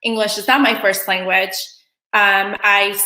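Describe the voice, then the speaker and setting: A woman's voice: mid-range voice, level tone, unhurried, bright, forceful tone, reverberant, poor audio. A woman from Kosovo whose first language is Albanian, hosting an online meeting in a chatty way with many ums and restarts. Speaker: event host. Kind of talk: casual online meeting chat.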